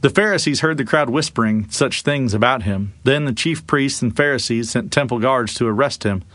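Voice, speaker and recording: A man reading a Bible passage aloud as expressive narration with dramatized dialogue. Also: mid-range voice, normal speed, dry recording. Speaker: scripture reader.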